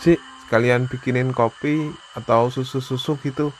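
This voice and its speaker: A man voicing a young Indonesian hiker, a practical, concerned friend talking colloquially in an animated horror story. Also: mid-range voice, moderate pace, slight background noise, lightly acted, poor audio. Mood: casual, practical. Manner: casual, colloquial. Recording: music behind the dialogue.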